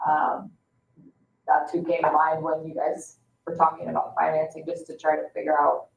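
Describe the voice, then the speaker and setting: A woman's voice: sits low, monotone, moderate pace, gravelly. An American woman with a financial adviser's role, explaining things informally at a public meeting. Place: indoor public meeting room. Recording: roomy, distant, muffled, faint room noise.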